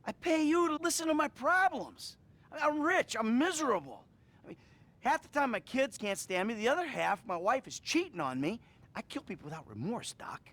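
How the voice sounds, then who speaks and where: Agitated, washed-up American criminal, swooping pitch. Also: male voice, very animated, moderate pace, fairly bright tone, full character voice. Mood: agitated, distressed. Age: middle-aged. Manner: profane, rambling, agitated, sighing. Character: troubled, washed-up middle-aged criminal in therapy, self-loathing, defensive. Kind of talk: acted video game cutscene dialogue.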